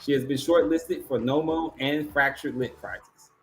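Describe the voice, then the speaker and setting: A man's voice: a little high, fairly even intonation, a little slow, faint music behind, poor audio. A man hosting an awards ceremony, announcing categories, finalists and winners. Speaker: awards show host.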